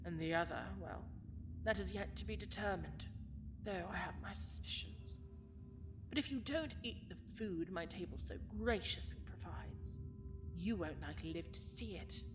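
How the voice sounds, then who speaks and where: Woman playing a haughty, menacing fantasy villainess, lowish voice. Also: normal speed, over music, close to an everyday voice. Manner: haughty, menacing, theatrical, swinging from hushed to forceful. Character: cold, bitter villainess lording over a dungeon prisoner. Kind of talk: fantasy audio drama monologue.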